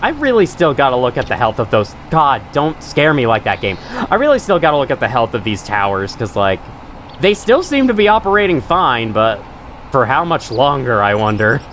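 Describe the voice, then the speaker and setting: A young man with a stuffy nose, rambling and swearing casually through relaxed gameplay commentary. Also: medium pitch, moderate pace, slight background noise. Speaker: gaming channel host. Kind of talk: casual let's-play commentary.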